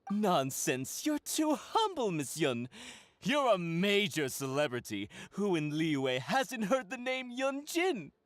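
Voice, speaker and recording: A man playing an awkward, flattering admirer in a video game, hesitant and deferential. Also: high voice, slow, dry recording, full character voice.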